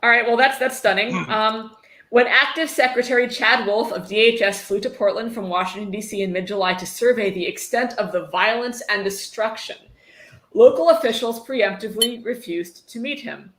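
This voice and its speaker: A woman's voice: a little high, normal speed, a bit bright. Sardonic woman livestreaming in an echoey room. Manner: sardonic, swearing freely. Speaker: livestream host reading a book aloud for charity. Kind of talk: casual chat with read-aloud passages and commentary. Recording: roomy, echoey sound.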